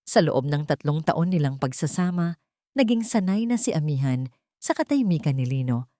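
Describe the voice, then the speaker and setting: A woman's voice: deep voice, expressive, moderate pace, mellow, no room sound, clean studio sound. A woman narrating a scripted dramatic story as its storyteller.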